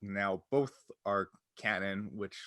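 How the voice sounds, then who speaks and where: A man's voice: fairly low pitch, slow pace, a bit bright, dry recording, clean studio sound. A man talking casually and jokily as a podcast host, explaining sci-fi game lore.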